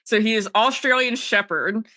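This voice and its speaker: Woman from south jersey on a podcast, bright punchy voice, dry mic, super casual and slangy. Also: a little high, normal speed, no room sound. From South Jersey, United States. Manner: informal, peppered with "like" and "dude". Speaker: podcast guest. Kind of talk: casual chat.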